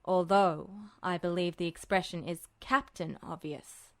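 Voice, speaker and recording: Audiobook role: smug, unruffled male officer read by a woman, low-set, measured, dry recording. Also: fairly even intonation, no echo. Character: smug, calm adult male officer, dryly teasing. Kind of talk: audiobook character voice.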